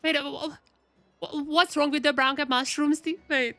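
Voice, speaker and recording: High-voiced, bright woman streamer. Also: moderate pace, dry recording. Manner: chatty, excitable, repeats phrases. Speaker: video game streamer. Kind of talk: casual live gameplay commentary with reactions. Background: game music.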